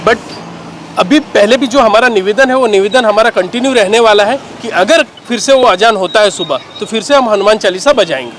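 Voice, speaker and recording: A man's voice: sits high, animated, fairly quick, a bit bright. A man answers an interview as a party worker. He explains things firmly and in order, with light street and vehicle noise in the background.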